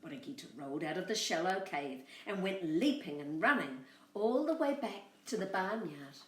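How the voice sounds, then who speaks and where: A woman's voice: fairly low pitch, lively intonation, moderate pace, fairly bright tone, echoey room, far from the mic, a little hiss or hum. A woman, hosting a story time and reading a storybook expressively to children.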